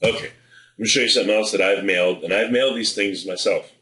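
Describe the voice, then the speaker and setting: Low-voiced man, bright delivery, roomy echo. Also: fast. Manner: direct, persuasive, plain-spoken. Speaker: marketing presenter. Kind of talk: informal sales advice to camera.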